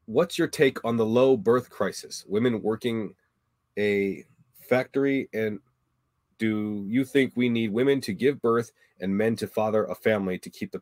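A man moderating a livestream debate, reading out viewer questions in a brisk, flat way. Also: on the low side, no room sound, clean studio sound.

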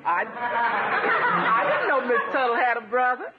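A woman's voice: a little high, big pitch swings, slow pace. A woman playing a cheerful, deferential, shrewd housekeeper and cook in a 1950s radio comedy. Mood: cheerfully deferential. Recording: dull, hissy 1950s radio recording.

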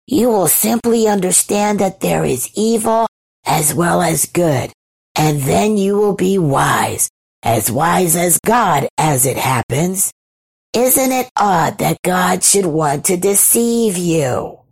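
A woman's voice: on the low side, animated, vocal fry, husky, exaggerated voice. A woman voicing a sly tempting snake creature in a whispery, coaxing storybook voice. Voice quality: whispery, hushed. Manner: slow, drawn-out, coaxing.

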